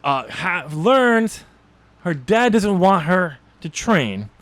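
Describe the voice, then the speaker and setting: Guy podcast host chatting about a book, kinda high voice, chuckles a lot, very dry sound. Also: big pitch swings, slow, a bit bright, no echo. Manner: chuckling, informal. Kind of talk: casual book discussion.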